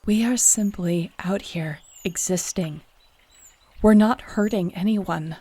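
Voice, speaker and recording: An actress playing a defiant, principled young shapeshifter heroine in an audio drama, speaking with earnest, firm indignation. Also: female voice, mid-range voice, slow pace, warm tone, no echo. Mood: indignant. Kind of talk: dramatic audio roleplay acting.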